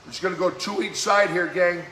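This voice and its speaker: A man leading a live-streamed workout as fitness coach, giving brisk, encouraging exercise cues in a slightly hoarse voice that keeps cracking. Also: high voice, fairly even intonation, pushed and bright. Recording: echoey room with faint background noise.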